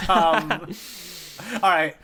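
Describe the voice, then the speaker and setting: A man with a fairly high voice chats casually as a podcast co-host. His delivery is playful and jokey, like relaxed talk between friends. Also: extremely slow, a crowd in the background. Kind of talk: casual chat, manga discussion.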